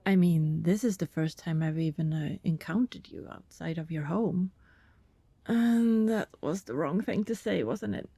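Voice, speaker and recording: Soft, breathy vampire woman, acted, speaking slowly. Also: fairly low pitch, no echo, heavily acted. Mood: reluctant, sheepish. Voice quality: soft, breathy, often dropping to a whisper. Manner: hesitant, politely formal wording, sighing. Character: apologetic, anxious female vampire neighbor pleading to be understood. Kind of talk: ASMR roleplay acting.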